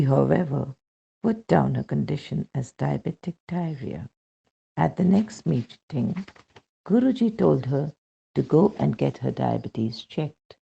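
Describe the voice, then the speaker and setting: Man, very creaky gravelly voice, dark mellow tone, expressive devotional narration, dull lo-fi recording. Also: a little high. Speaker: reader of devotional testimonials. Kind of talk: scripted narration of a spiritual testimony.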